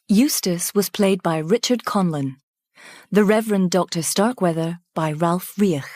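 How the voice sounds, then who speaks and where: A woman's voice: lowish voice, darkish tone, no room sound, clean studio sound. A woman reading a scripted closing announcement for a radio drama.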